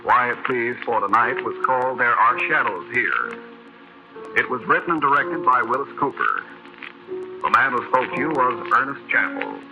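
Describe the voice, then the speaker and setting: A man's voice: medium pitch, big pitch swings, normal speed, creaky. A man announcing credits in the formal style of 1940s radio. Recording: dull vintage radio recording. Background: loud music.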